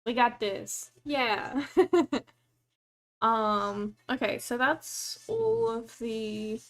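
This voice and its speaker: A woman's voice: on the high side, animated, very slow, fairly bright tone. A young Australian woman in casual chat, giggly and self-mocking, muttering at her screen as she types. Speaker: co-host. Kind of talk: casual worldbuilding chat while typing.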